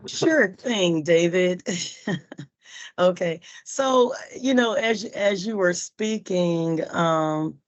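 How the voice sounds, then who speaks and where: A hoarse woman, a workshop participant and singer, asking a question politely and hesitantly with many fillers. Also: on the low side, animated, extremely slow. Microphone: video call. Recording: dull lo-fi video call audio.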